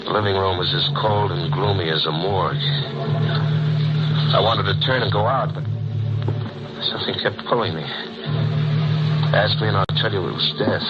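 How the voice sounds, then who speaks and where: An actor playing a wary, wisecracking hearse driver in an old-time radio crime drama, hard-boiled yet uneasy, on a muffled and very noisy recording. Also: male voice, low voice, normal speed, long pauses, loud music behind the voice, close to an everyday voice. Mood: brooding narration.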